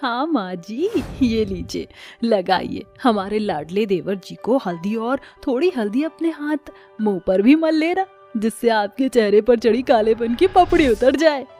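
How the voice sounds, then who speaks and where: A woman's voice: fairly high pitch, animated, unhurried, dark tone, faint music behind. A woman voicing a snide, mocking young daughter-in-law with an exaggerated, taunting manner for a cartoon. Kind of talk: cartoon story dubbing.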